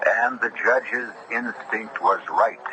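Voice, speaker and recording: A man hosting and narrating a radio mystery drama in a measured, solemn, theatrical manner. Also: mid-range voice, fairly even intonation, close to an everyday voice. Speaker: narrator and host. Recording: noisy vintage radio broadcast.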